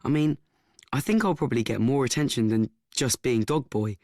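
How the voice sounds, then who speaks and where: An actor playing an awkward, anxious teenage son, narrating and talking in a radio play. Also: male voice, medium pitch, moderate pace, darkish tone, no echo, studio quality, close to an everyday voice. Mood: confiding.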